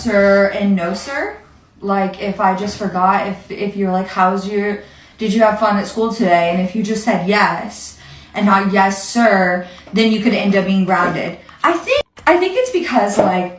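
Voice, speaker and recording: Young female storyteller, medium pitch, conversational and self-deprecating, recorded roomy and off-mic. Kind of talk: casual personal storytime. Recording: roomy echo, distant from mic.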